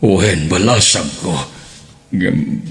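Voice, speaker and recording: A middle-aged man playing a caring, worried father in a melodramatic audio drama, expressive and paternal. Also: deep voice, slow. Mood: grave. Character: worried, caring father of a sick adult daughter.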